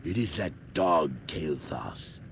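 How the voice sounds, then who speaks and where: A man voicing a grave old alien sage in a fantasy video game, gravelly, solemn and formal. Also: lively intonation, slow pace, slight background noise, heavily acted. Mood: deferential, pleading. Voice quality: very deep, gravelly. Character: grave, deep-voiced old alien sage leading a secret rebellion.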